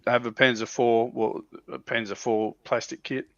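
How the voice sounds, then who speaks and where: Dull lo-fi recording, man, heavy vocal fry, dry sound, casual panel chat. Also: medium pitch, flat delivery, moderate pace, no room sound. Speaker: livestream panelist. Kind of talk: casual hobby discussion.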